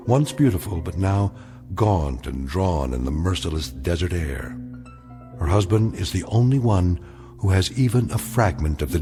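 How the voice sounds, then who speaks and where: A man narrating an eerie radio drama in a measured, solemn, deliberate way. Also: on the low side. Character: grave omniscient male storyteller of eerie tales. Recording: music behind the voice.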